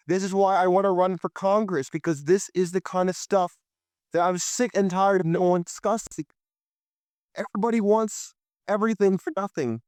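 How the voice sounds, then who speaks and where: A young man hosting a solo political podcast, impassioned and preachy, his voice a bit hoarse, speaking straight to his listeners. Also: sits high, darkish tone, no echo, studio quality. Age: young adult. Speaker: podcast host.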